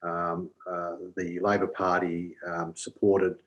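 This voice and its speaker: Deep, flat-toned man. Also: highs cut off. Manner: halting, hesitant. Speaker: election candidate. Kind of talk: answering questions at a candidate forum. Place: online video call.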